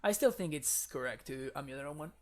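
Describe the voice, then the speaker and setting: A man's voice: on the high side, animated, quick pace. A young man, a native Spanish speaker with a Spanish accent, chatting casually with viewers while streaming a card game, often laughing. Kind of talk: casual live-stream commentary.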